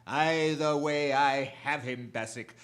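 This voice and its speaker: An actor playing a gloating criminal mastermind in a radio drama, menacing and deliberate, with theatrical delivery. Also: male voice, a little high, steady tone, a bit bright. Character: gloating male crime mastermind plotting a murder.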